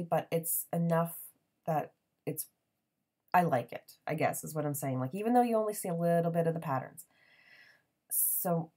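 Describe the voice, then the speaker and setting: A woman in her thirties hosting a crafting channel, chatting casually in a voiceover with a raspy morning voice, full of ums and little laughs. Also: sits low, a little mellow.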